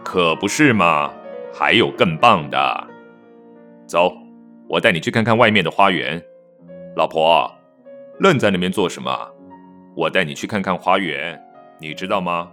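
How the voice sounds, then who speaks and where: A man acts as a controlling young husband in a period radio drama, with a very low, forceful voice. He is hearty and patronizing. Faint music plays behind him. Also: measured pace, long pauses. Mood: self-assured, breezy. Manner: hearty, patronizing, self-assured. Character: controlling, falsely cheery young 19th-century husband. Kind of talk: Mandarin radio drama acting.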